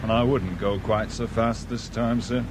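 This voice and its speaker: A man's voice: on the low side, steady tone, a little slow, close to an everyday voice. An actor playing a polite but firm British patrol policeman in a radio drama, official and understated. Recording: noisy outdoor roadside with car sounds.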